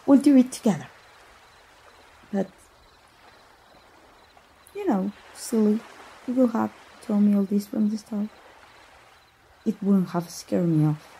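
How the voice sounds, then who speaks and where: A woman playing a tender, lovestruck young princess in a romantic ASMR roleplay, speaking softly, haltingly and with feeling. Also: lowish voice, gravelly, slight background noise, poor audio. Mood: affectionate, teasing. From Mexico. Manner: halting, emotive, with gasps and sighs. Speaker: voice actor.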